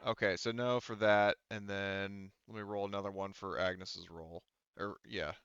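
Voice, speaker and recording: A man's voice: fairly low pitch, flat delivery, moderate pace, background noise. A man acting as game master in casual tabletop play, informally thinking aloud as he works through rules on a slightly dull, dry microphone.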